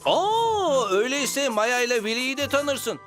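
A man's voice: very high voice, big pitch swings, unhurried, a bit bright, heavily acted. A man voicing a cheerful, self-important insect musician in a children's cartoon, chatty and theatrical. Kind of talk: dubbed children's cartoon acting. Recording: music behind the voice.

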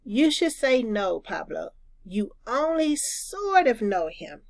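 Woman reading as a patient, animated older boy. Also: fairly high pitch, slow pace, creaky, no echo, heavily acted. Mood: instructive. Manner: instructive, patient. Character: sensible older boy patiently guiding younger friend. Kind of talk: children's storybook read-aloud.

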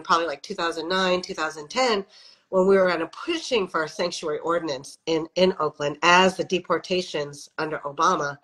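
A woman's voice: on the low side, a bit bright, poor audio. Reflective woman talking over a video call. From American Midwest. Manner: reflective, conversational, many "you know" fillers. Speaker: guest, faith leader. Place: home office with windows, indoors. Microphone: video call webcam.

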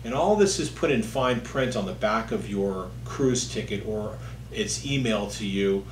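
Echoey, low-voiced middle-aged man. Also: expressive, normal speed. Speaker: lawyer. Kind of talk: informal legal explainer to camera. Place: indoor office, seated at a distance from the camera. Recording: echoey room with faint hum.

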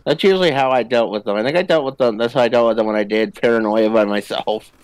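A man's voice: mid-range voice, fast talker, smooth, no echo. A man chatting casually as he plays a co-op video game, with playful, jokey asides. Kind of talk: casual co-op gameplay chat. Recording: game gunfire under the voice. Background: video game gunfire and ambience.

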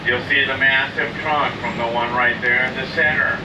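A man's voice: medium pitch, fairly even intonation, moderate pace, muffled recording. Trolley tour guide, male, informative patter; distant, echoey speaker sound with street noise. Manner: informative, addresses riders as ladies and gentlemen. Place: open-air tour trolley outdoors.

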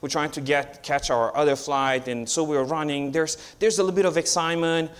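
A man's voice: a little high, a little fast, clear, resonant tone. A man in his thirties from Romania, with a Romanian accent, giving a chatty, story-filled guest sermon from a church pulpit. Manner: chatty, storytelling, with frequent restarts and growing excitement. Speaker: guest preacher.

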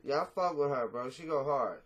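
A man's voice: medium pitch, animated, brisk. A man in his thirties, a rapper talking casually and bluntly in an interview, cursing freely, on a video call from a small room at home. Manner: blunt, profane, laid-back.

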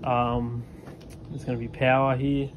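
Rambling young tradesman, flat-voiced. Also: mid-range voice, unhurried, dull audio, noisy background. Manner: rambling, many ums and fillers. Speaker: HVAC technician vlogger. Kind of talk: casual walkthrough vlog. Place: outdoors beside a van in a driveway.

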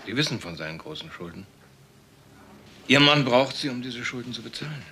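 An actor playing a suspicious, sardonic police detective in a radio crime drama, asking pointed, persistent questions. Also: male voice, mid-range voice, lively intonation, brisk, pushed and bright. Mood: cool, pressing. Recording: faint background noise.

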